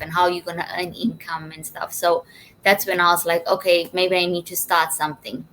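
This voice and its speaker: A woman from Zimbabwe with a slightly low voice talks casually as an interview guest. She is on a livestream call with faint background noise. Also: rough recording. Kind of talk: casual personal testimonial.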